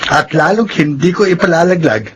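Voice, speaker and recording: Earnest young man, higher voice, an actor's role. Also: moderate pace, fairly bright tone, muffled recording, slight background noise, close to an everyday voice. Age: young adult. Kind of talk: radio drama acting.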